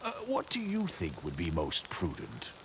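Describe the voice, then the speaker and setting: An actor voicing a chivalrous, pompous but bumbling good-natured knight in a video game, with florid, theatrical speech and flustered hesitations. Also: male voice, low voice, wildly swooping pitch, moderate pace, gravelly, a little hiss or hum, exaggerated voice. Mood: flustered, hesitant.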